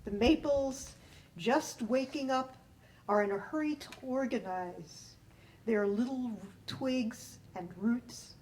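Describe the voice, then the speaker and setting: A woman reading a poem aloud with deliberate, measured phrasing. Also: a little high, a little mellow, slight background noise, low-quality recording. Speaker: writer reading own poem. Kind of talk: poetry reading.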